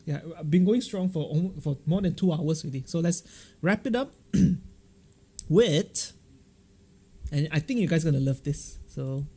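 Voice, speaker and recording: A man with a Malaysian accent hosting a casual livestream, chatting and telling stories in an animated, jokey way full of local slang. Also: a little high. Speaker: livestream host. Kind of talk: casual live-stream chat and storytelling.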